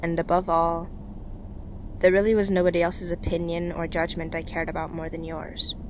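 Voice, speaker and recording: A woman playing a weary, grieving young survivor of a revolution in an audio drama, speaking in a wistful, halting, confessional way. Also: fairly low pitch, level tone, noisy background, natural voice. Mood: worried. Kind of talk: intimate audio drama monologue.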